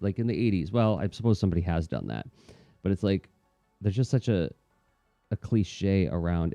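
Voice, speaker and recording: A man's voice: low-pitched, mellow, dry recording. A man in casual, reflective chat, talking in a thoughtful, rambling, conversational way as an artist hosting a livestream.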